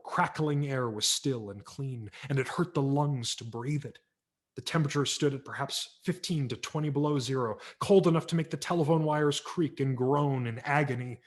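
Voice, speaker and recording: A man's voice: mid-range voice, moderate pace. A man, a professional audiobook narrator, giving a vivid dramatic reading of a humorous memoir over a video call. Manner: vivid, expressive storytelling.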